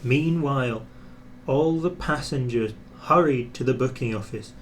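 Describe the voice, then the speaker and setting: Unhurried man reading a children's story. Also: mid-range voice, slight background noise. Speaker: storybook reader. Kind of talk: scripted children's story narration, expressive.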